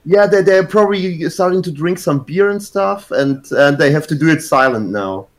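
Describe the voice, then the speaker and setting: Podcast co-host, male, slightly raised pitch, giggly storyteller calling in from a hotel room. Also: expressive, moderate pace. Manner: rambling, laughs through stories. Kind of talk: casual chat.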